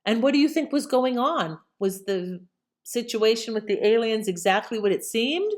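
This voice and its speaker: A woman's voice: a little high, expressive, normal speed. A woman hosting with casual, inquisitive commentary addressed to viewers. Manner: conversational, inquisitive. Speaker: channel host.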